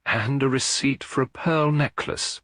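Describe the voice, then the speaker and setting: A man voicing a remorseful, soft-spoken female employee in a story, pleading as she confesses. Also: medium pitch, unhurried, no room sound, studio quality, voice pushed up, lightly acted. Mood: subdued.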